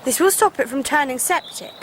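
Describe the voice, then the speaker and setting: A woman's voice: high-pitched, lively intonation, a little fast, slight background noise, rough recording. A woman playing an anxious, headstrong young upper-class English girl in a Victorian radio drama, speaking earnestly and politely. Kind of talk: 1990s radio drama acting.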